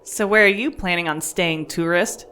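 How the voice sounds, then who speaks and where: A woman's voice: lowish voice, normal speed, sharp tone. A woman voicing a surly, sarcastic alien crew member in a comedy audio drama, with an abrasive manner. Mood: sneering. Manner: snarky, abrasive.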